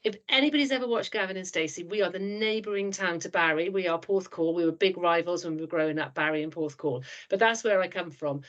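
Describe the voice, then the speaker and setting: Welsh woman in her fifties, low voice. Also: fast talker, few pauses, dull audio. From South Wales. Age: 50s. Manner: chatty, frank, many restarts. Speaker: trust board chair, guest. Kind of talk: podcast interview.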